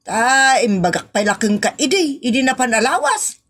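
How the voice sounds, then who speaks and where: An actress plays an impatient woman in a comic audio drama, nagging in a northern Philippine accent. Her voice is slightly high and insistent. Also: very animated, moderate pace, fairly bright tone, heavily acted. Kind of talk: comedy audio drama acting.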